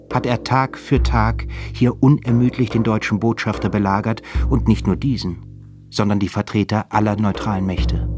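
A man narrating a literary radio play in an earnest, measured way, carrying long sentences steadily. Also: fairly low pitch, lightly acted. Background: instrumental music.

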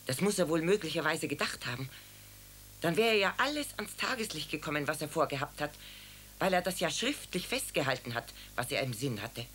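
A woman's voice: low voice, lively intonation, brisk, a bit bright, slight background noise. An actress playing a plain-spoken landlady in a radio drama, explaining things hesitantly. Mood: earnest. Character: plain-spoken landlady recalling her lodger.

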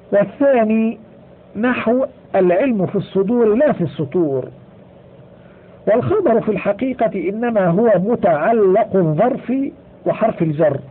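High-voiced man reading a grammar book. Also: breathy edge. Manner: measured, slows to stress example sentences. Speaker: audiobook reader. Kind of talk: reading an Arabic grammar textbook aloud. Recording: tinny phone-like audio.

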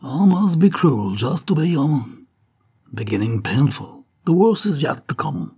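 A man voicing a young American woman singer from Texas, speaking softly with a put-on Texan twang in her English lines. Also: on the high side, big pitch swings, a little slow, husky, voice pushed up, full character voice. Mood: reciting.

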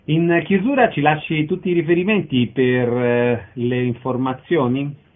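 Brief: male radio interviewer with a fairly high voice, recorded in a narrow, thin band. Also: measured pace. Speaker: radio host. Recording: narrow, phone-like radio sound.

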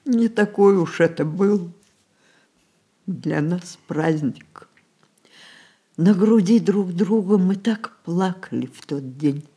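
An actress playing a dying old matriarch in a radio drama, imperious yet tender, with theatrical, halting phrases. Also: female voice, on the low side, mellow, slightly rough. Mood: wistful confession. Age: older. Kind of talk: Russian stage-style radio drama acting.

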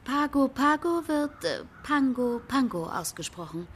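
An actress playing a matter-of-fact companion in a radio play, precise and keen to inform. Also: female voice, sits high, big pitch swings, a little slow, slight background noise.

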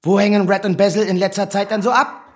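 A man's voice: high voice, fairly even intonation, fast talker, noisy background, lightly acted. An actor playing a pushy small-town policeman in a radio play, needling and sneering as he interrogates.

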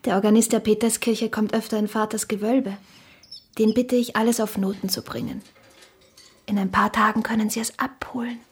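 A husky, blunt shop girl. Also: medium pitch, steady tone, moderate pace. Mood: matter-of-fact. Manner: plain-spoken, direct. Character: blunt, teasing shopkeeper's daughter, young and unschooled. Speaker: actress. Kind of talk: literary radio drama dialogue.

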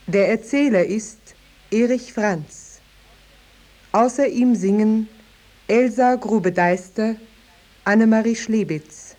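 A woman announcer reading a scripted 1950s German radio introduction in a formal, deliberate way. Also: on the high side, dark tone, rough recording.

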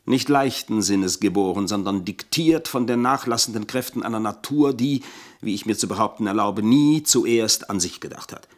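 A man's voice: fairly low pitch, normal speed, lightly acted. A middle-aged man in a German radio play, playing a scheming manservant who speaks in stilted, formal, self-pitying phrases. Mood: stiff, self-pitying. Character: aggrieved, pompous, scheming ageing manservant.

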